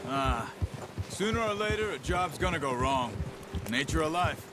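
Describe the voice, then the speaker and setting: An actor playing a calm, stoic and loyal outlaw in a video game, speaking in measured, plain, terse phrases. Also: male voice, on the high side, music in the background, natural voice. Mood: calm, frank. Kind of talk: video game cinematic acting.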